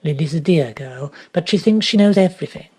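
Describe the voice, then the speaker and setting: A man's voice: on the high side, lively intonation, brisk, darkish tone, husky. A man voicing a forthright, stubborn elderly English lady who speaks emphatically. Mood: insistent. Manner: emphatic, insistent.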